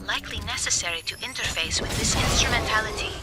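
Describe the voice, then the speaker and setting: Woman playing calm female android, loud music behind. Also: fairly high pitch, close to an everyday voice. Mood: detached, precise. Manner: measured, formal, emotionless. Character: calm, precise female android science officer. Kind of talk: video game mission dialogue.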